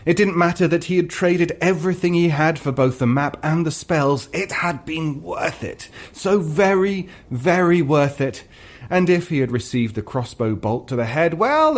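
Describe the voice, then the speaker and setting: A man reading scripted fiction as narrator, in a dramatic comic storytelling style. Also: a little high. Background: ambient sound effects.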